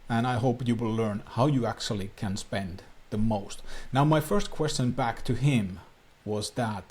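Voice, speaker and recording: Finnish man, native Finnish speaker, marketing coach explainer, husky mid-pitched voice, earnest and plain. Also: darkish tone. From Finland. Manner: earnest, plain non-native phrasing with small restarts. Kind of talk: talking-head explainer to camera.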